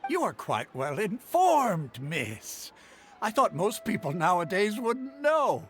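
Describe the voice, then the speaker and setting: A man's voice: sits high, huge pitch swings, slow, over music, full character voice. A man voicing a courteous food stall vendor in a video game, polite and pleasantly impressed, drawing out his words.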